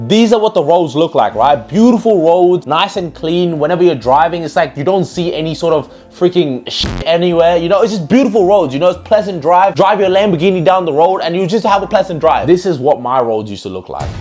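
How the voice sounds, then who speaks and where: A man's voice: brisk, hardly any pauses, faint music behind. A young man from Pakistan telling his own life story as a vlogger in a casual, jokey, slangy way. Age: young adult. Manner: animated, jokey, slangy, with swings in pitch.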